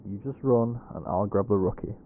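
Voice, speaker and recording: A man's voice: on the low side, brisk, phone-quality sound, a little hiss or hum, natural voice. A man playing a cocky, defensive ghost hunter in an audio roleplay, talking in a wry, sweary, conversational way. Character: Reggie, cocky defensive male ghost hunter, quick with excuses.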